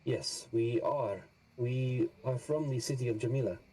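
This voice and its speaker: A man speaking in character as a player, with a formal, slow and measured manner. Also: mid-range voice, warm tone, a little hiss or hum, low-quality recording. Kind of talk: in-character roleplay.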